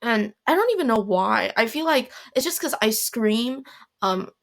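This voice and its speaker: A teenage girl hosting her own podcast, talking casually in a rambling, self-deprecating way with lots of fillers, her voice hoarse and raspy. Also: a little high, animated, moderate pace. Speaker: podcast host. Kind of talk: casual solo podcast monologue. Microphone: home recording mic.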